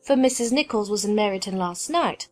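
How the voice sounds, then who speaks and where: Woman as an animated middle-aged gossip. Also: mid-range voice, brisk, faint music behind, lightly acted. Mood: eager. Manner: emphatic, gossipy. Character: eager gossiping middle-aged sister bearing news. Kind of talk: dramatized audiobook character acting.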